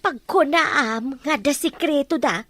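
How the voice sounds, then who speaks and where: A woman's voice: high voice, takes it slowly, a bit bright, dry recording. A woman playing a plaintive, suspicious madam in an Ilocano radio drama. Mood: wary, approving. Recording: noisy recording with background ambience.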